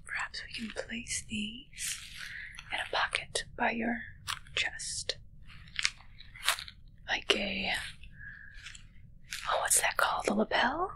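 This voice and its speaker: A young woman acts out a possessive, haughty witch in an ASMR roleplay. Her whisper is bright and fairly high, delivered right up close to the mic. She takes her time, teasing her familiar with hushed control. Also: slow, long pauses, rough recording. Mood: wry, teasing. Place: small dark draped room indoors. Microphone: close ASMR microphone.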